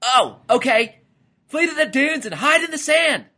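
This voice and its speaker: A man voicing an excitable, anxious father lizard in a storybook, with dramatic exclamations. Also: a little high, measured pace, husky, voice pushed up, exaggerated voice. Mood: panicked. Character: excitable anxious male father lizard, brave but easily panicked.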